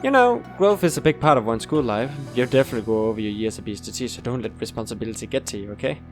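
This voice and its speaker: A woman's voice: a bass voice, rapid-fire, over music. A woman playing a polite, encouraging school interviewer in an audio drama, formal yet reassuring. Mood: kindly, encouraging. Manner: formal but reassuring, expressive.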